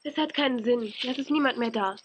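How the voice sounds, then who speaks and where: A woman's voice: on the high side, brisk, a little hiss or hum, lightly acted. A woman playing a sensible, decisive young adventurer and elder sister in a children's audio drama. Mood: resigned.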